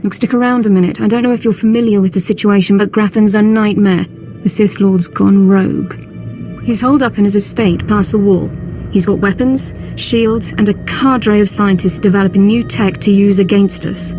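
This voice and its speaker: A woman's voice: medium pitch, steady tone, moderate pace, lightly acted. A woman voicing a weary, tough, protective military officer in a video game, frank and no-nonsense. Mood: frank, exasperated. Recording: music under the voice.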